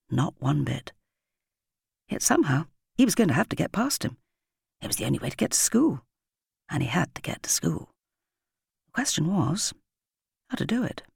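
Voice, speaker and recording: Female children's audiobook narrator. Breathy, husky texture, dramatic storytelling delivery, dry studio-like sound. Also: deep voice, no room sound. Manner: dramatic, suspenseful storytelling with varied emphasis.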